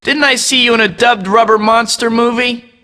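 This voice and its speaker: A quippy young web-slinging hero. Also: male voice, extremely high pitch, fairly even intonation, normal speed, pushed and bright, big-room sound. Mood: taunting. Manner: quippy, sarcastic banter. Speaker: game hero voice clips. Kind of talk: scripted game voice acting.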